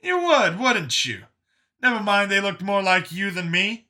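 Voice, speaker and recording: Man putting on a high-pitched sardonic backwoods veteran. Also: animated, measured pace, pushed and bright, heavily acted. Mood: probing. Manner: incredulous, sardonic, questioning. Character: Brian, skeptical backwoods war veteran doctor, adult man.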